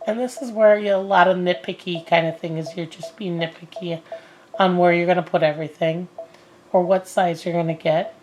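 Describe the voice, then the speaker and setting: A woman's voice: fairly low pitch, steady tone, moderate pace, darkish tone, a little hiss or hum. A woman in her forties, getting over a cold, explains a machine-embroidery quilting technique in a chatty, rambling way, filling gaps with ums and restarts, recorded on a camera mic in a cluttered home sewing room. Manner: chatty, many fillers and restarts. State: recovering from a cold. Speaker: sewing instructor. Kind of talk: casual how-to demonstration.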